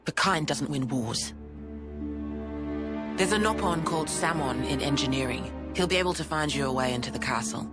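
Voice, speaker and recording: A man's voice: on the high side, normal speed, loud music behind the voice, natural voice. An actor playing a stern, pragmatic soldier in a game, terse and matter-of-fact, a man giving instructions.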